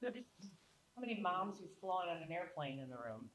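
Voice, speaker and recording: A woman moderating a live panel, lively and drawing in the audience with questions. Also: on the low side, normal speed, rough recording. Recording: live audience audible in the room.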